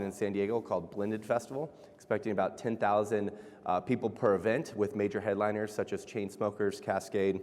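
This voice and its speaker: A man's voice: lowish voice, darkish tone. A man speaking as moderator of a conference chat, easygoing and filler-heavy. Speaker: fireside chat moderator and presenter. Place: large conference hall. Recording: long hall reverb.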